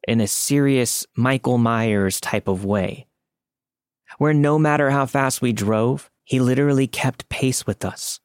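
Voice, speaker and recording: A man's voice: medium pitch, a little mellow, no room sound, clean studio sound. A man reading a scary first-person story as scripted podcast narration.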